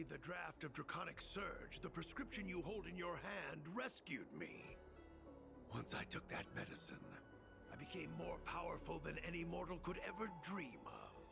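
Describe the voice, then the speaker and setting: A man's voice: fairly high pitch, creaky, exaggerated voice. An actor playing a fanatical, scornful male cult leader in a video game, with theatrical, grandiose delivery. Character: fanatical male cult leader, former spearman turned zealot. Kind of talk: video game villain acting.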